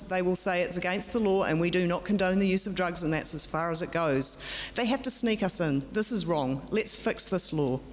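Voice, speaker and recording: Middle-aged woman, podium microphone, conference room, long hall reverb, firm and direct. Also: medium pitch. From New Zealand. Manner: firm, direct and measured, with some fillers. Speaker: conference speaker. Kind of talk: formal presentation at a podium. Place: large conference room with audience.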